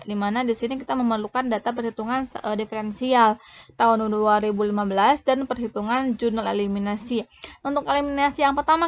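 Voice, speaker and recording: A young woman giving an explanatory tutorial, often breaking off and restarting as she goes. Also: fairly high pitch. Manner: halting, frequently breaking off and restarting. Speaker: accounting tutor presenting.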